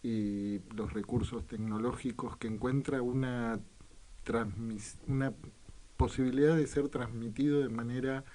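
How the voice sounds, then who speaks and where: A man hosting a reflective radio conversation, with halting, thoughtful phrasing. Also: mid-range voice, steady tone, warm tone. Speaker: radio host.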